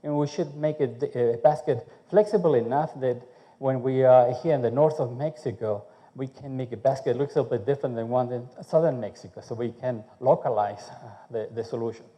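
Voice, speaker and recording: A man's voice: medium pitch, fairly quick, big-room sound, highs cut off. A man from Chile, a food researcher giving a conference talk, speaking conversationally and often checking in with "okay?". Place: conference hall.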